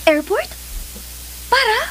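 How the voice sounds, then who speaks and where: A woman's voice: extremely high pitch, big pitch swings, slow, bright, forceful tone, heavily acted. A woman playing a sweet, affectionate young girlfriend on the phone in a Philippine radio drama, with a Filipino Cebuano accent. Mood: fond. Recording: phone call scene with clear hiss.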